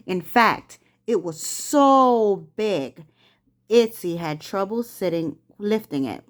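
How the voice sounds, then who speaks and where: A woman's voice: medium pitch, expressive, slow. A woman reading a children's storybook aloud expressively, as a mother does with her children. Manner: prompts the young reader with words.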